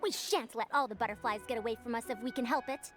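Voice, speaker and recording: A woman playing a brisk, proud female scholar with old-fashioned diction in an anime video game. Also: on the high side, over loud music, close to an everyday voice. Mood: determined.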